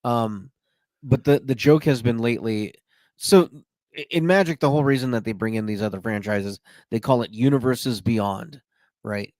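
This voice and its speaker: A man's voice: fairly low pitch, darkish tone, no echo. A man co-hosting a podcast in casual chat, eagerly explaining how a card game works. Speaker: podcast co-host.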